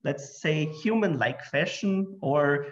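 Man from Austria, thirties, conference lecture, friendly, many fillers, slightly raised pitch, dull lo-fi audio. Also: expressive, slow. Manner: friendly, self-deprecating, frequent fillers. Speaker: computer science researcher giving a talk. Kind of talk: conference lecture over video call. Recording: muffled video call audio.

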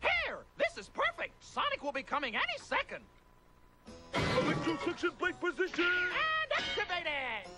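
A man voicing a scheming, excitable, bumbling robot villain in a cartoon, shrill and blustering. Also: normal speed, loud music behind the voice, exaggerated voice. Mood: gloating. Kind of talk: animated cartoon acting.

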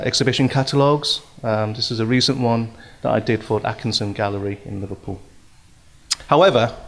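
A middle-aged man from Hong Kong giving a hesitant talk at a symposium, with many fillers and restarts, into a stand microphone in a large hall. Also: mid-range voice. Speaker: speaker at a symposium. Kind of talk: conference talk.

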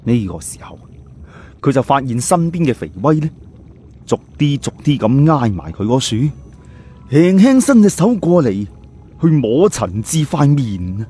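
Audiobook narrator: man, relaxed warm timbre, middle register, telling a scripted story. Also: mid-range voice, a little slow, husky.

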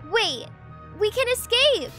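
Young woman voicing a high-pitched, lonely schoolgirl. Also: big pitch swings, slow pace, pushed and bright, faint music behind, full character voice. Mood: urgent. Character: teen girl Hazel, lonely, kind-hearted schoolgirl. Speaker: voice actor. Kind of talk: amateur voiced game movie.

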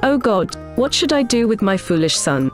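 A woman's voice: mid-range voice, very animated, normal speed, soft background music, natural voice. A woman playing an admonishing mother of a foolish son in a children's cartoon, speaking emphatically. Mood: exasperated. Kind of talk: scripted cartoon dialogue.

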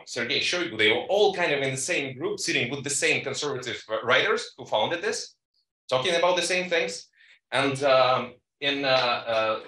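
A man presenting research at a conference panel, talking haltingly with many fillers and quick asides to the audience. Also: medium pitch, bright, forceful tone. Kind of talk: academic presentation. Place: conference room. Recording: echoey room, distant mic.

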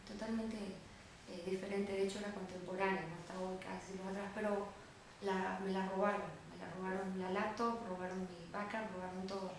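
A woman with a Bolivian Spanish accent talks reflectively in an interview, pausing and adding little tag questions. Her pitch sits mid-range. The room is strongly echoey, with faint noise underneath. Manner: reflective, halting, often seeking confirmation with tag words. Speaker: interviewed writer. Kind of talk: interview answers, conversational. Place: small indoor room with bare wall, seated.

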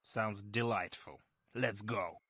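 A man's voice: fairly low pitch, slow, pushed and bright, slightly rough, no echo, heavily acted. A man voicing a hardened guerrilla commander in a video game, terse, dry and sardonic. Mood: dry, businesslike. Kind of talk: scripted video game cutscene acting.

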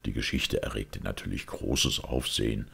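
A man reading the part of a shrewd, calm priest detective in an audiobook, in a measured, deliberate way. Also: a bass voice, vocal fry, husky, voice pushed down, full character voice. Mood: grave, matter-of-fact.

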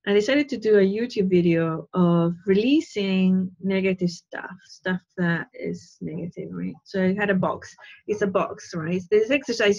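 A woman's voice: mid-range voice, darkish tone, slightly rough. A woman in her fifties giving a casual spiritual talk from her living room over a video call, earnest and rambling, checking in with "right?" and "you know". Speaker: spiritual teacher. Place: living room at home, indoors. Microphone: wired earphone mic on a video call. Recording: dull lo-fi call audio.

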